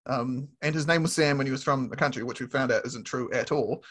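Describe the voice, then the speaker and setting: A man's voice: medium pitch, fairly quick, fairly bright tone. A man who grew up in England, chatting casually on a panel. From born in New Zealand, grew up in England. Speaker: podcast panellist. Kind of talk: casual chat. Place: room at home, video call.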